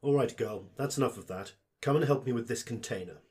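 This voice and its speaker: A man's voice: mid-range voice, brisk, a little mellow, slightly rough, lightly acted. A man playing a shady antiques dealer in an audio drama, speaking in ornate, pompously deferential salesman's patter. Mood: gruff, scolding.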